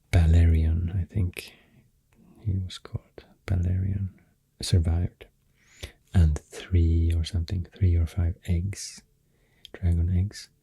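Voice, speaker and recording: A man with a Swedish accent speaking in a deep, soft, half-whispered ASMR ramble, halting and correcting himself, close to the microphone. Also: little pitch movement, gravelly, slightly rough, no room sound. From Sweden. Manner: hesitant, slow, halting, self-correcting. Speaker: ASMR artist.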